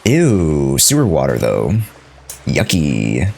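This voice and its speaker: A young man giving casual live gameplay commentary as a streamer, playful, slangy and quick to react, often talking to himself. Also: a bass voice, wildly swooping pitch, vocal fry, a little mellow, breathy edge. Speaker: game streamer. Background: faint game music.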